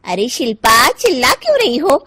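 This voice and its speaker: A neighbour lady, acted by a woman, high and lively in pitch. She pleads politely, then bristles with offended dignity, in a cartoon-story style. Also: moderate pace, very noisy.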